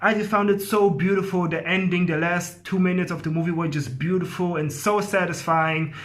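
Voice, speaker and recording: A man's voice: sits high, fairly even intonation, normal speed. A man giving a casual, effusive movie review to viewers, rambling and often repeating himself. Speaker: film review host.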